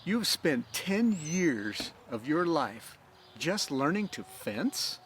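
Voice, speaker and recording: A man voicing an incredulous older swordmaker, a gruffly fond mentor questioning his pupil in a storybook reading. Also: a little high, big pitch swings, slow pace, fairly bright tone, slight background noise, voice pushed up, full character voice. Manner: disbelieving, questioning.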